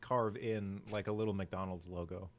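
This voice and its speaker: A man's voice: low-pitched, fairly even intonation, normal speed. A man chatting casually as a player in a live tabletop game, talking through his moves.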